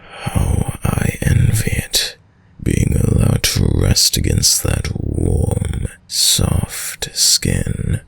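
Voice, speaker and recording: An extremely low, very breathy male vampire, acted by a man, purrs straight to the listener in an intimate audio roleplay. He is courtly and sadistic, lingering very slowly over formal phrases with silky, mocking dominance and a gravelly rasp. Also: little pitch movement, no room sound, exaggerated voice. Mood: insistent, seductive. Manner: slow, silky, formal, teasing menace with drawn-out pauses.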